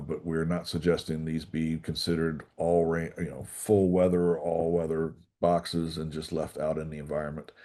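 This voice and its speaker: A man presenting a technical webinar, explaining speaker setup in a relaxed way with frequent fillers. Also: sits low. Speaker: product trainer.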